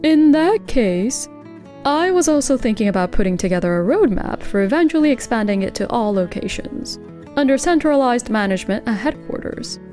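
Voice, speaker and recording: A woman's voice: fairly high pitch, expressive, moderate pace, dark tone, faint music behind, close to an everyday voice. A woman playing a thoughtful, diligent young new employee in an anime-style manga dub. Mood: earnest.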